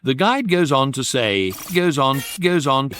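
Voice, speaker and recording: An actor playing an urbane talking guidebook, narrating wryly and precisely in a radio comedy. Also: male voice, mid-range voice, lively intonation, noisy background, lightly acted. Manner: measured, wry, precise. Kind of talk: scripted comic narration.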